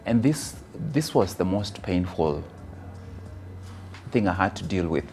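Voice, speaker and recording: Kenyan man speaking deliberately over music. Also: low-pitched, lively intonation, a little mellow. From rural Kisii, Kenya. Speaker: motivational speaker. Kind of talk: motivational personal testimony.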